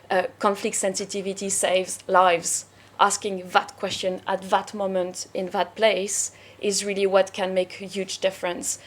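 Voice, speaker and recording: Woman panelist explaining calmly. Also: medium pitch. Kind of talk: unscripted panel discussion.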